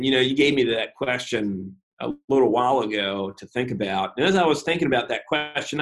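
Male pastor, interview guest, mid-range voice, methodical and unhurried, pauses with ums, thinks out loud. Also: medium pitch, low-quality recording. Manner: measured, methodical, frequent "uh" and "um" pauses; self-deprecating humor. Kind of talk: unscripted interview explanation.

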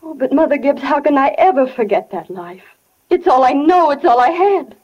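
A woman's voice: sits high, expressive, moderate pace, a little mellow. An actress playing a wistful young wife and mother who has died and yearns for life, in 1940s radio acting. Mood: yearning, insistent. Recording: dull vintage 1940 radio recording.

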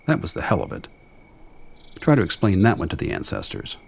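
A man with a gravelly voice reading scripted dramatic narration in a measured, brooding storytelling manner. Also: sits low, huge pitch swings.

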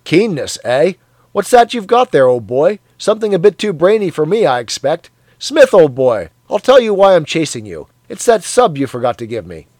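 A cheery, blustering middle-aged man , put on by an audiobook narrator, booms out boasts in a raised, higher voice. He is zealous and loud, and the recording is dry and close. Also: sing-song, moderate pace, no room sound. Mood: hearty.

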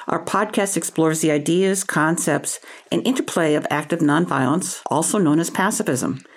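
A woman hosting a podcast interview, reading a formal introduction and asking questions in a measured way. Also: low voice, darkish tone.

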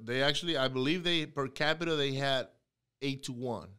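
A man's voice: medium pitch, normal speed, bright, forceful tone. A man from Venezuela hosting a podcast interview, chatty and joking, with a lot of restarts. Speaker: podcast host. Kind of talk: casual interview chat.

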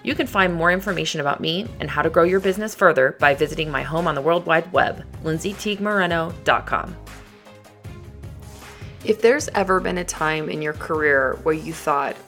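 Low-voiced chatty woman podcast host. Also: a bit bright, soft background music. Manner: chatty and upbeat, with fillers and quick asides. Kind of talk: casual solo podcast talk.

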